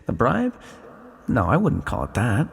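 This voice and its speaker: Gravelly, echoing ancient villain acted by a man. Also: mid-range voice, exaggerated voice. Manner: intimate, teasing, musing delivery with dramatic pauses. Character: ancient imprisoned male villain, sly, lonely, tenderly flirtatious. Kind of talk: ASMR roleplay acting. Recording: long reverberant echo.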